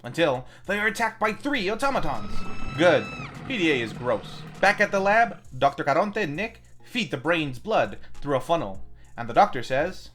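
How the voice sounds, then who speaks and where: Animated man narrating a wry film recap. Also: on the high side, normal speed, music in the background. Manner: speaks of himself in third person, wry. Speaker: film reviewer, host.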